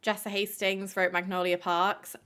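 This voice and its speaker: A woman's voice: mid-range voice, level tone, moderate pace, a bit bright, clean tone. A woman hosting a live stream, chatting casually with viewers, filling her talk with "like" and swearing freely. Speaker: livestream host.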